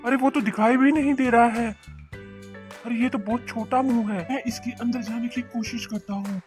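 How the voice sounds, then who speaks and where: A man's voice: very high voice, fairly even intonation, normal speed. An eager, wide-eyed cartoon fisherman bubbles over with exclamations as a man acts him out. He is a simple husband with gold on his mind, gasping between bursts. Music plays behind him. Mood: amazed, eager. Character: excitable, greedy but kindly fisherman husband. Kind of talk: animated cartoon voice acting.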